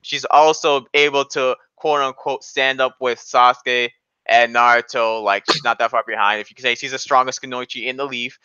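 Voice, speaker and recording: Bright, insistent man in casual debate. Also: medium pitch, fairly even intonation, moderate pace, clean tone, no room sound, dull audio. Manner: argumentative, insistent, repeats points.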